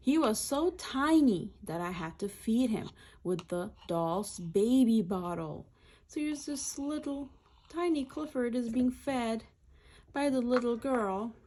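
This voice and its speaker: A woman's voice: fairly high pitch, darkish tone. A woman reading a children's picture book aloud in short, simple phrases, adding explanatory asides.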